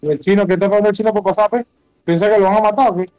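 A Venezuelan man with a high voice talks quickly in a casual gaming voice chat. His speech is crude and slangy, recorded dry with music playing. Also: steady tone, a little mellow, no echo, rough recording. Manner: crude, foul-mouthed. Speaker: online game player.

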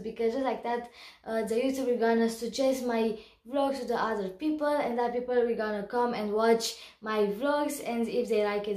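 A young woman from Romania with a Romanian accent, chatting to camera in a giggly, animated vlog. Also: a little high, reverberant. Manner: bubbly, giggly, gestures a lot. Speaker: vlogger. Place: small room indoors.

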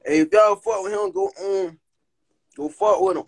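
A man's voice: high-pitched, huge pitch swings, gravelly, breathy edge. Brash young man on a phone livestream. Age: young adult. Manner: brash, swearing, quick banter. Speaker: livestream host. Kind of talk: casual livestream chat. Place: indoors, small room. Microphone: phone held close to the face.

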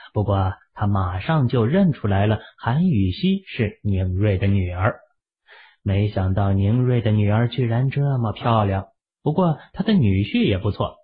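A man narrating an audiobook drama in a lively storytelling manner. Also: lowish voice, husky. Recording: muffled phone-like audio.

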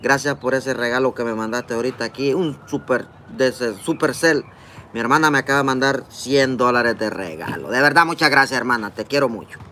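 A man's voice: medium pitch, brisk, pushed and bright, a little hiss or hum. Honduran man greeting livestream viewers. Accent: Honduran Spanish. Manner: friendly, greets viewers by name, eating between lines. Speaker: livestream vlogger reading chat. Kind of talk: casual livestream chat. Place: outdoors in a park, seated at a table. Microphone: phone camera close up.

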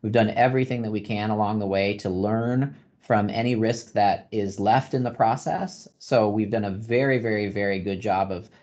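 A man's voice: fairly low pitch, fairly even intonation, normal speed, darkish tone. A man answering as a physician panelist on a video call, explaining step by step and repeating phrases for emphasis. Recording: dull video-call audio.

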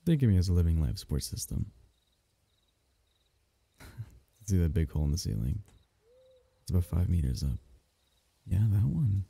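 A man voicing a lonely, doting alien symbiote in an intimate, soothing ASMR roleplay, coaxing its host with a low, gravelly tone. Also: very animated, normal speed, long pauses, warm tone, no echo, full character voice. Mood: gentle coaxing.

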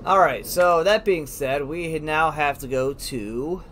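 A man's voice: on the high side, slow pace, a bit bright. A man giving casual let's play commentary as a gaming host, informally thinking aloud. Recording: clearly noisy, game ambience behind.

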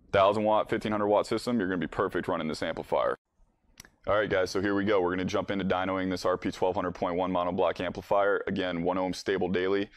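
A man's voice: low-pitched, dry recording, studio quality. An American man in his thirties giving a casual product demonstration as a company boss. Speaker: company CEO presenting a product. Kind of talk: casual product demo presentation. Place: indoor workshop, in front of a workbench.

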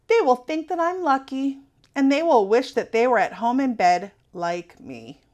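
A woman's voice: high-pitched, lively intonation, measured pace, a little mellow, voice pushed up. A woman voicing a reluctant, anxious, sulky female storybook character with a whiny, dragging complaint.

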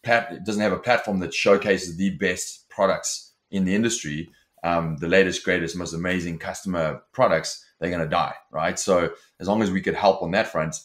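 A South African man in his forties with a very low, deep voice, talking casually over a video call from a home office. He pushes his points forward with lots of restarts and "you know" fillers. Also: steady tone, normal speed, fairly bright tone. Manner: many restarts and fillers, fluent and forward-driving. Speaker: software company founder, guest. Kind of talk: casual interview talk.

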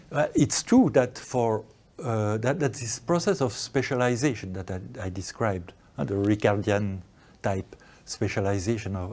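Slow, halting older male lecturer. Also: lowish voice, a little mellow, husky. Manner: slow, halting, with many restarts. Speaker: lecturer answering questions. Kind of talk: academic Q&A answer. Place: lecture room, indoors. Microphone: lapel mic.

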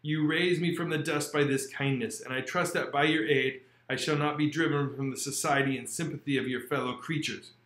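A man's voice: a little high, fairly even intonation, brisk, few pauses, a bit bright, lightly acted. A man reading a pleading, shunned creature. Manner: formal, earnest, pleading. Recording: roomy echo.

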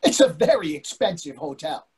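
A man's voice: a little high, very animated, normal speed, a crowd in the background. A man in his sixties playing a grumpy, argumentative, hungry husband in a readers theatre play. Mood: aggrieved. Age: 60s. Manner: exasperated, argumentative. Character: grumpy, hungry middle-aged husband out at dinner. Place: indoors on a video call.

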